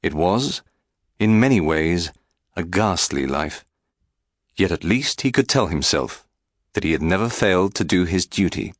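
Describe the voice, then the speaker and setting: A man narrates a biography in a fairly low voice. His delivery is measured and formal, with clearly articulated phrases and plenty of movement in pitch. The sound is dry, with no room around it. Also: expressive, no echo. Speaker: audiobook narrator.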